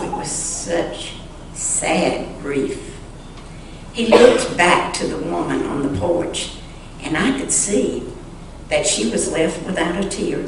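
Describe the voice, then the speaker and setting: A woman reading a story aloud in a dramatic, deliberate way, pausing expressively between short phrases. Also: on the low side. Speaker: church member reading a story to the congregation. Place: large church sanctuary. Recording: echoey church sanctuary, distant mic, faint noise.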